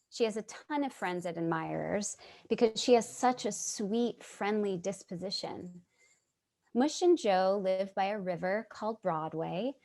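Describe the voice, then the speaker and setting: Woman playing a slow, reflective middle-aged New Yorker. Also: mid-range voice, creaky. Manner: measured, reflective, storytelling. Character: casually dressed middle-aged woman, born-and-bred Manhattanite narrator, compassionate. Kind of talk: dramatic monologue in a staged play reading. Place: at home on a video call.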